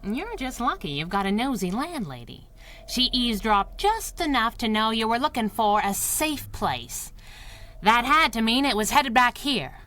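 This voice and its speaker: An actress playing a tough, wisecracking young masked sidekick in a 1930s-style radio adventure, brash and sardonic. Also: female voice, fairly high pitch, big pitch swings, moderate pace, fairly bright tone. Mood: brisk, hard-boiled.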